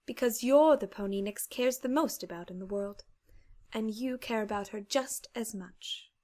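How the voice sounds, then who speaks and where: A woman's voice: on the high side, moderate pace, a little mellow, close to an everyday voice. A woman voicing a refined, caring, insistent female pony with a genteel, theatrical manner in an audiobook. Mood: reassuring. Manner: genteel, polite, theatrical phrasing. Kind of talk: dramatized audiobook character acting.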